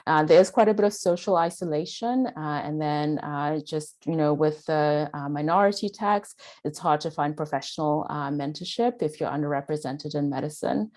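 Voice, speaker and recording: A woman's voice: low-pitched, normal speed, few pauses, a little mellow. A woman with a Zimbabwean British accent giving a faculty presentation, speaking earnestly with frequent "uh" and "um". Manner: earnest, filler-heavy delivery with frequent "uh" and "um".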